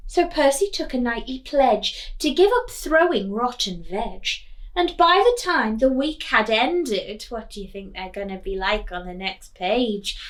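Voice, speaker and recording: Storytime teacher, female: high, very lively voice, expressive sing-song rhymes, coaxing questions for little ones. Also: unhurried. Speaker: teacher reading a children's storybook aloud. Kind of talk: animated storytime reading with questions to young listeners.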